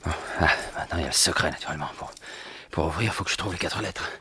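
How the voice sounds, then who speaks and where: An actor playing a wry, self-mocking gentleman burglar in a theatrical, colloquial 1950s French radio drama style. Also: low voice, fast, husky, full character voice. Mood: tense, muttering. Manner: theatrical, talks to himself, colloquial.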